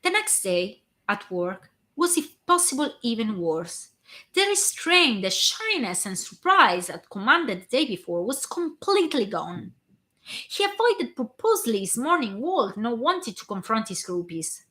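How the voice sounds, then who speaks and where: A woman reading a story aloud with a hoarse voice. Her tone is bright and forward. She keeps a steady narrating pace, now and then tripping over a word and starting again. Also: a little high, unhurried. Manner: steady reading with small slips and restarts. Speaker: fan fiction reader.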